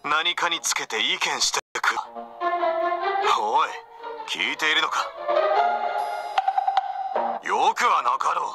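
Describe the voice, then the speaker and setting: An actor playing a proud, brusque young samurai warlord in a romance game, with a haughty, commanding, old-fashioned lordly manner. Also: male voice, on the high side, expressive, moderate pace, hardly any pauses. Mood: scornful, irritated. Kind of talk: dramatic visual novel acting. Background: loud music.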